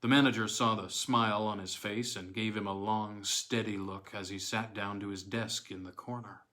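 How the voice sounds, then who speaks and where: A man reading a classic horror story aloud as audiobook narrator, in a measured, deliberate way with dramatic pauses. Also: fairly low pitch, steady tone, bright, forceful tone.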